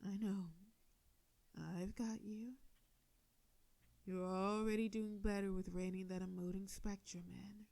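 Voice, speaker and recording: A woman playing a proud, sardonic female telepathic villain with a hidden soft side, in a theatrical scripted audio drama. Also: medium pitch, little pitch movement, measured pace, pauses often, no room sound, lightly acted. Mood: soothing. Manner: theatrical, indignant then soothing.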